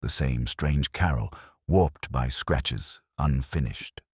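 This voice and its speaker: Very deep-voiced male mystery narrator. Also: slow, slightly rough, no echo, studio quality. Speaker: narrator of a detective story. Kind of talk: scripted first-person mystery narration.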